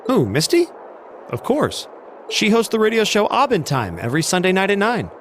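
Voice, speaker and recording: Very animated young student. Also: female voice, mid-range voice, normal speed, a little hiss or hum. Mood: surprised, informative. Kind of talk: game dialogue acting.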